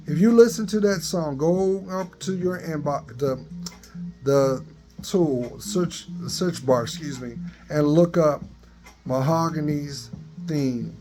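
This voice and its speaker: A man in his fifties with a dry voice, giving a slow, earnest motivational talk into a phone in a garage. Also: fairly high pitch. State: dry voice, coughing. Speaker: vlogger. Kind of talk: motivational selfie vlog. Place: open garage, daytime. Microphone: phone at arm's length.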